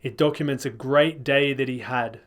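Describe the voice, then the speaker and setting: A man hosting a film festival broadcast, introducing each film in a plain, measured way. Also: mid-range voice, fairly even intonation, a bit bright. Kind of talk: introducing films.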